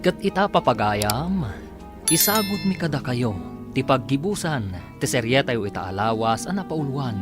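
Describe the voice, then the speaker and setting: A man's voice: mid-range voice, sing-song, moderate pace, over music. A man reading a scripted announcement as a radio drama announcer.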